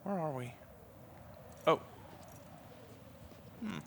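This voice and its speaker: A man's voice: on the high side, animated, extremely slow. A young man giving casual, joking, sweary commentary as he plays through a video game. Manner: sweary, wisecracking. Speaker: let's play commentator. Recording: faint noise, game audio behind. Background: video game sound.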